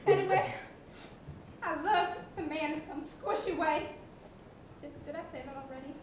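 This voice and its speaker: A young woman on stage playing a flustered, guilt-ridden male rector who confesses in halting, apologetic stammers. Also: high-pitched, natural voice. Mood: distraught, overwrought. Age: young adult. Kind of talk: comedic student stage acting. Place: theatre stage. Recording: echoey stage, distant mic, faint noise.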